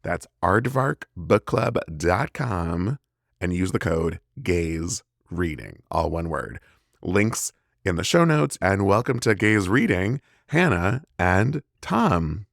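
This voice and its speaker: A man's voice: low-pitched, very animated, slow pace, gravelly, dry recording, studio quality. An American man hosting a podcast with an enthusiastic, gushing manner, moving between scripted intro and casual chat. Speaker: podcast host.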